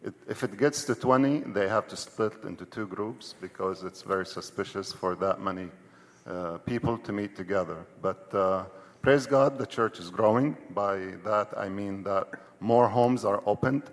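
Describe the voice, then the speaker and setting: Male missionary guest, fairly deep, halting explainer, reverberant hall sound. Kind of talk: explanatory interview answer. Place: indoor church hall.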